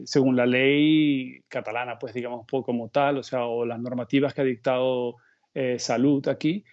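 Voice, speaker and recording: A middle-aged Venezuelan man talking as an interview guest in a relaxed, meandering way, thinking aloud mid-sentence. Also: medium pitch, dull audio. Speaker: guest illustrator. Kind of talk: casual conversational interview. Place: remote call from home abroad.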